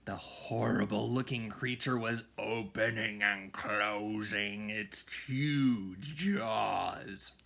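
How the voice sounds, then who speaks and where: A man reading a children's storybook aloud in a dramatic manner, often hushed for suspense. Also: lowish voice, very slow, creaky, few pauses. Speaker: music teacher reading aloud.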